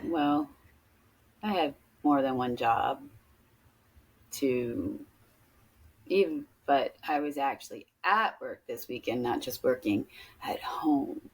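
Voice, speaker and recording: A woman's voice: rumbling low, animated, slow pace, pauses often, breathy edge, rough recording. A woman co-hosting a podcast, chatting casually and jumping between topics. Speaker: podcast co-host; teacher. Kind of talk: casual chat.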